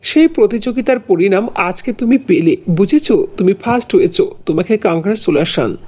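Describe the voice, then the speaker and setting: A man's voice: high-pitched, expressive, moderate pace, darkish tone, slightly rough. A man voicing a polite contest official in a cartoon, explaining things courteously in announcement style. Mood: congratulatory. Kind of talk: Bengali fairy-tale cartoon dubbing.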